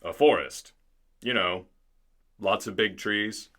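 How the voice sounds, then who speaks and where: A man's voice: fairly low pitch, takes it slowly, fairly bright tone. A man playing a theatrical, put-upon narrator in a scripted radio drama.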